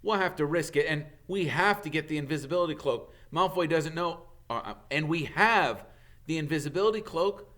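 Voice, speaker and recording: A man's voice: on the high side, expressive, fairly quick, fairly bright tone, pitched above the performer's own voice. A man voicing an earnest schoolboy who speaks with urgency and insistence in a storybook reading. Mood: urgent.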